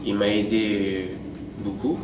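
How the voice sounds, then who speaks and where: A young man from Senegal, speaking simply and haltingly in an interview, with many fillers. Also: fairly low pitch, little pitch movement. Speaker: young football volunteer. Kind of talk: documentary interview. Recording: roomy, distant, noisy background.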